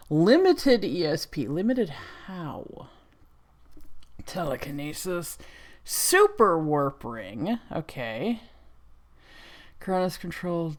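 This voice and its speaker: A woman giving casual reaction commentary, thinking aloud in a halting way. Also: sits low. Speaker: reaction video host.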